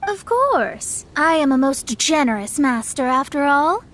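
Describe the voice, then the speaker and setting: A woman's voice: high-pitched, big pitch swings, measured pace, heavy background noise. A woman voicing a proud, formal young female astrologer who acts as a generous master, in a video game. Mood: haughty. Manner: haughty, formal and self-important.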